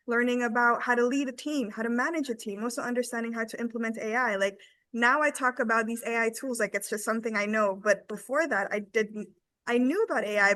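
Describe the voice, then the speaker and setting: A woman's voice: a little high, fairly bright tone, dry recording. A woman in her twenties giving an informal, earnest and enthusiastic testimonial as a team member on a webinar. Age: 20s.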